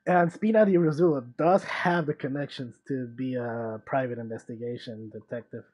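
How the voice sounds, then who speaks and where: A man's voice: medium pitch, steady tone, moderate pace, darkish tone. A man streaming a video game, giving casual, rambling commentary as he thinks aloud. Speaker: gaming streamer.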